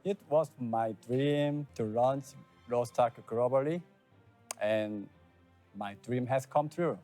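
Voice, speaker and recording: Man speaking slow English, Korean accent. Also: medium pitch, warm tone, soft background music. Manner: slow, careful English with short phrases. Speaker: game director.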